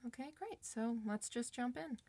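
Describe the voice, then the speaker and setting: A woman's voice: a little high, lively intonation, dark tone, no echo. A woman playing a friendly, reassuring doctor for werewolves in an informal, one-sided ASMR roleplay. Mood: calm, reassuring. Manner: reassuring, informal, hesitant pauses.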